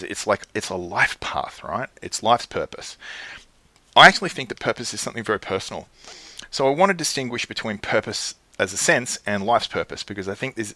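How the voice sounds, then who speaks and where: A man coaching his clients, earnest and checking in often, with lively jumps in pitch and frequent restarts. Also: no room sound. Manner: earnest, keeps checking in with "right?". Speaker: life coach.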